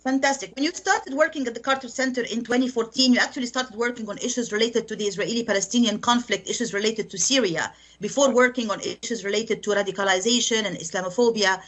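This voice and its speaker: A woman radio host with a fairly high voice speaks in a polished, formal way. She reads a prepared introduction and then puts careful questions to her guest in a studio. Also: fast, few pauses, a bit bright. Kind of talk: formal radio interview hosting.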